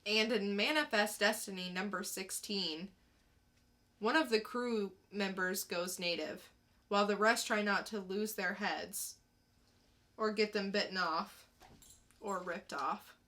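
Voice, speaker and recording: A woman with a bright voice and a slow, unhurried pace. She sits at a middle pitch, speaking casually as a podcast co-host reviewing comics and reading blurbs.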